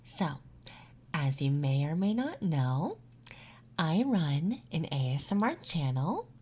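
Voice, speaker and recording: A flirty, teasing emo femboy classmate character in an ASMR roleplay, speaking softly and breathily close to the mic and often dropping into a whisper. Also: mid-range voice, slightly rough. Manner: teasing, flirty, unhurried with pauses. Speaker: ASMR content creator performing a roleplay. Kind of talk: ASMR roleplay, intimate soft-spoken and whispered. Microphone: close ASMR microphone.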